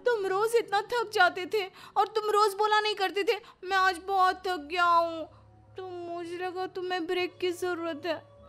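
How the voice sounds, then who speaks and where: A woman playing a nosy, naive young daughter-in-law in an animated family comedy, insistent and pleased with herself. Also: extremely high pitch, unhurried, faint music behind, full character voice. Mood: defensive, tearful. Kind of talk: animated Hindi family comedy story acting.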